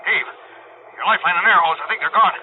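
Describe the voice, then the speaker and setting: Actor as a brisk captain on noisy radio. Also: male voice, high-pitched, close to an everyday voice. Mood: urgent. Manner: brisk, urgent, directing. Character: commanding salvage boat captain handling a diver. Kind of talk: old-time radio drama acting. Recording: tinny, noisy vintage radio recording.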